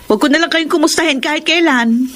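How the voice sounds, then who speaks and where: A woman's voice: high-pitched, quick pace, natural voice. A Filipino actress playing a guarded, easily hurt young working woman in a radio drama. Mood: hurt, defensive.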